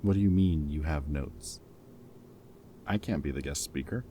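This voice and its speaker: Ex-villain for roleplay audio drama, male actor: extremely low pitch, casual wry banter, protective friend with a teasing streak. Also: measured pace, dark tone, slight background noise. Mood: playful, eager. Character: teasing, caring male ex-villain planning with old hero friend.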